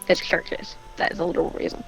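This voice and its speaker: A woman's voice: fast talker, fairly bright tone. A woman telling a story casually and off the cuff, rambling, with offhand asides. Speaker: storyteller on a gaming channel.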